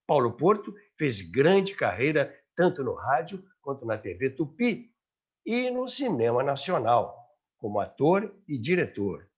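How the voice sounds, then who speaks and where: Husky Brazilian man narrating with lively swings. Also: medium pitch, unhurried. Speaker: host and narrator of a radio history show. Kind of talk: scripted documentary narration. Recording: slightly dull audio with background music.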